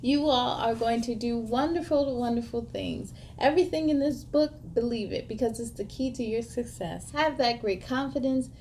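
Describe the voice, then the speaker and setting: Woman, high voice, children's read-aloud host, expressive and encouraging delivery. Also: moderate pace, few pauses, slight background noise. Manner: encouraging, addresses young listeners directly. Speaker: story time host reading a children's picture book aloud.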